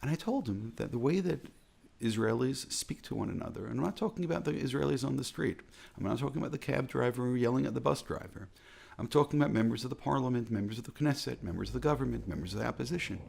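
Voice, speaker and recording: A man with an American accent, a rabbi giving an earnest, measured reflective talk that repeats phrases for emphasis. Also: medium pitch, expressive, creaky, a little mellow, breathy edge. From United States.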